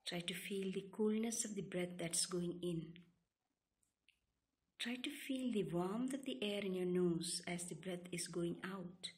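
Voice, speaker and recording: A woman leads a guided relaxation meditation, giving calm, soothing instructions in short, separated phrases. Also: on the low side, measured pace. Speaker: yoga nidra meditation guide.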